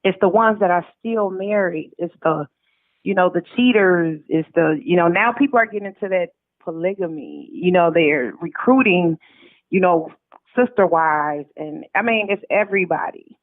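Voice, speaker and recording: A woman's voice: medium pitch, no echo. A middle-aged woman, a guest author, answering interview questions conversationally over a phone-like connection. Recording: thin, narrow phone-like line.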